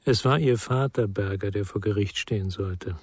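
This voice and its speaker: A man playing a reserved middle-aged psychotherapist in a radio drama, speaking in a measured, probing, reflective way. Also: on the low side, a little mellow, breathy edge, no echo. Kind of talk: naturalistic radio drama acting.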